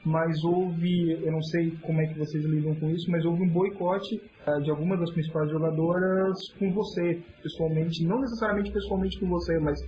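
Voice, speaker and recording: Man, podcast host, from Santa Catarina Brazil, chatty rambling questions, high voice, dull lo-fi sound. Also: steady tone, normal speed, hardly any pauses, dark tone, a little hiss or hum. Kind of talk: interview questions.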